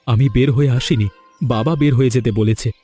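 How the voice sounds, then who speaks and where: A man's voice: medium pitch, steady tone, a little fast, mellow, soft background music, natural voice. A man voicing an earnest, devoted young husband and son in a fairy-tale cartoon. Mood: reassuring.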